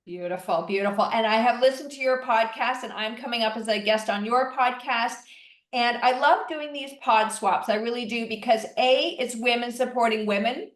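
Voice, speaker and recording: A woman's voice: on the high side, distant mic. A woman hosting a podcast, talking in an enthusiastic, emphatic way. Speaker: podcast host. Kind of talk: casual podcast conversation. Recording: echoey voice from off the mic.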